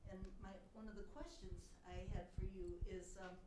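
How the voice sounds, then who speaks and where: A woman's voice: medium pitch, fairly even intonation, normal speed. A woman in her seventies asking a casual, personal question from the audience, searching for her words as she goes. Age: 70s. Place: live book talk audience. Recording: slight noise on event recording.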